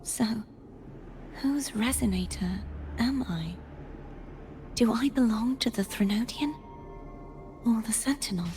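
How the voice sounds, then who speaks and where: A woman playing a solemn, resolute young female warrior in a video game, speaking in an earnest, measured, breathy way. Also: fairly high pitch, a little mellow, soft background music. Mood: grave, reflective.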